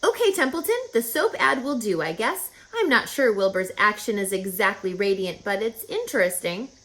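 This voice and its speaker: Woman playing a lively, kindly bossy storybook spider lady. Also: mid-range voice, moderate pace, echoey room, natural voice, poor audio. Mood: affectionate. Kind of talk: children's storybook read-aloud.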